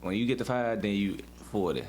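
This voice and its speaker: A man's voice: on the low side, expressive, fairly quick, a loud crowd. A man in his twenties chatting informally as a podcast co-host, playfully arguing his point. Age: 20s. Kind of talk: casual couple banter. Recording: clearly noisy with a hum.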